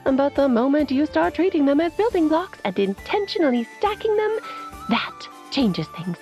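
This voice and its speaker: An actress voicing a knowing, mysterious woman who explains things in a measured, lightly amused way. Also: high voice, very animated, a little mellow, faint music behind. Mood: explaining. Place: studio.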